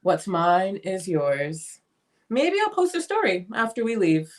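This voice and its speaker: Animated, chatty big sister played by a woman. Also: mid-range voice, a little slow. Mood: chatty, breezy. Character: chatty, affectionate, slightly vain older sister. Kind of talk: dramatic script reading.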